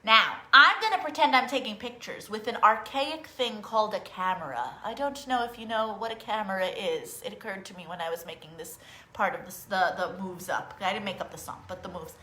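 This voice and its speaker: A woman hosting a live online story time, talking directly to young children in a sing-song, animated manner as a librarian who reads and teaches songs. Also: mid-range voice, a little fast. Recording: roomy, echoing sound.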